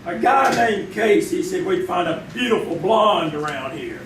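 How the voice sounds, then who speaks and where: A man plays a police sergeant barking orders in an old-time radio crime drama. The high, commanding voice rings out from afar on an echoey stage. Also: expressive, a little slow. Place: live theater stage. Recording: distant echoey stage recording, faint noise.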